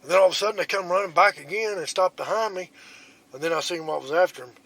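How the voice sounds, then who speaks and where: A man, filming a hunting vlog on his phone outdoors, telling his story in an excited ramble and switching to a hushed whisper up in the tree stand. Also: high voice, fast talker, a little hiss or hum. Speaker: bowhunting vlogger. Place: outdoors in woods.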